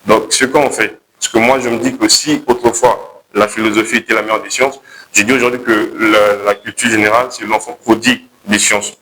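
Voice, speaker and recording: A young man with a Congolese accent pitching his project to a jury, hesitating and correcting himself as he goes. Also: fairly even intonation, a little fast, pushed and bright, slight background noise, rough recording. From Republic of the Congo. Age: young adult. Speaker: contest candidate pitching a project.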